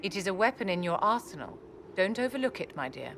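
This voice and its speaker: An actress voicing a haughty, elegant court enchantress in a video game, imperious, poised and measured. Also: female voice, medium pitch, big pitch swings, fairly bright tone, slight background noise. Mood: grandly persuasive.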